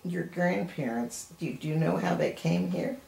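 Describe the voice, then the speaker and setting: An older woman with a gravelly voice interviewing for an oral history, friendly and encouraging. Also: fairly low pitch, normal speed, a little mellow. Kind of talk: interview questions. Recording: echoey room, faint hiss.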